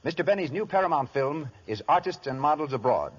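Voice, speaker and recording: An American man announcing on 1930s radio, reading out scripted credits in a slightly rough voice. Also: a little high, expressive, brisk. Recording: muffled 1930s radio recording.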